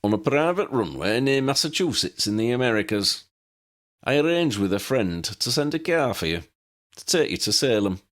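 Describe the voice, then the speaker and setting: A man's voice: mid-range voice, big pitch swings, moderate pace, pitched above the performer's own voice, natural voice. A man voicing an eccentric, chatty old aeroplane pilot in a storybook, jaunty, rambling and folksy.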